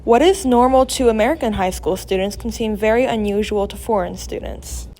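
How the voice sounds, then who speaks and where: A woman's voice: fairly high pitch, fairly quick, mellow. Teen girl reading a news feature. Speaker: student reporter. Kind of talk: scripted news feature narration.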